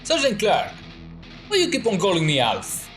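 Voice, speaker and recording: Man playing green young soldier, higher-pitched, very animated, teasing, cheeky, faint music behind, audio drama. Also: moderate pace, full character voice. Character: cheeky, needling young male green army recruit.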